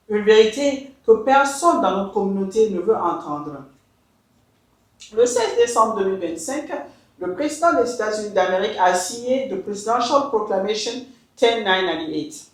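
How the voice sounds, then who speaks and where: A woman from Mali speaks in an emphatic, deliberate way, leaning on key words. Her pitch moves up and down freely. The room around her rings with a heavy echo. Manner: emphatic, deliberate, switches into English. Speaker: education consultant. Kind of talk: scripted address to camera. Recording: very echoey room.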